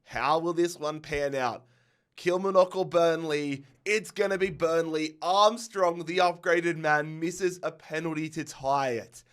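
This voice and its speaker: A man hosting a gaming video with excited commentary, his voice nasal and congested from a head cold. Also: on the high side, a little slow, smooth.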